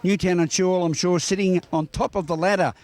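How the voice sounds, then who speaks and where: Australian male sports interviewer, fairly high voice, halting and wordy delivery in post-match chats. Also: steady tone, darkish tone, no room sound.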